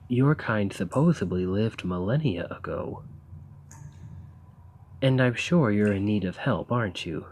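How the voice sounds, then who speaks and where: Man playing a husky, courteous professor of ancient civilizations. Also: lowish voice, a little mellow, full character voice. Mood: delighted, fascinated, then earnest, persuasive. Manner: formal, bookish, polite, halting. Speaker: audio roleplay performer. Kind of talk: scripted ASMR roleplay acting.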